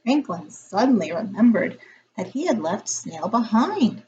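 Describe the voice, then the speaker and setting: Lady reading a kids picture book, deliberate and expressive, lively pitch, bit of noise behind her. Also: muffled recording. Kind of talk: expressive children's story read-aloud.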